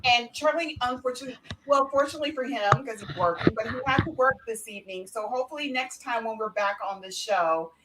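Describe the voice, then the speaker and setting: A woman's voice: on the high side, fast talker, few pauses, noisy background. A woman hosting a radio show in casual panel chat.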